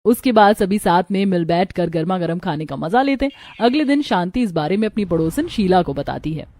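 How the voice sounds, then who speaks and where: A woman's voice: on the low side, lively intonation, fairly quick, darkish tone. A woman reading a scripted moral story as its narrator.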